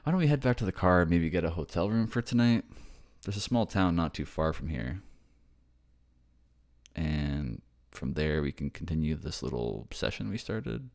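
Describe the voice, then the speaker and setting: A man's voice: low-pitched, animated, normal speed, gravelly, a little mellow. A man playing an affectionate, reassuring boyfriend from a ghost family in a casual ASMR-style roleplay. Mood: playful.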